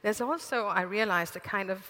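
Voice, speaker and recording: Female moderator on stage, thoughtful, crisp bright voice, long hall reverb. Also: medium pitch, a little fast. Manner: thoughtful, searching for words. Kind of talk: moderating a public conversation.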